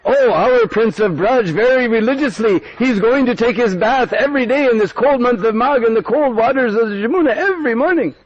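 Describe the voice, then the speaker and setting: A man's voice: very high voice, lively intonation, fairly quick, few pauses, pitched above the performer's own voice. A man voicing a proud villager who speaks admiringly of his prince. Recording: dull dry recording.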